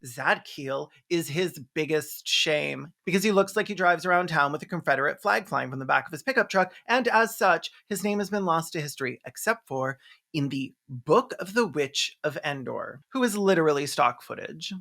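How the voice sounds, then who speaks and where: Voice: mid-range voice, lively intonation, moderate pace, creaky, sharp tone. A video essayist giving snarky, campy, sarcastic comedic commentary on a film.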